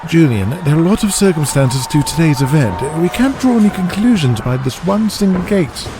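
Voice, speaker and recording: A man's voice: a little high, big pitch swings, a little fast, background noise, pitched above the performer's own voice. A man acting a nervous, deferential wrestling henchman in an audio drama. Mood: anxious placating. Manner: hesitant, anxious, placating.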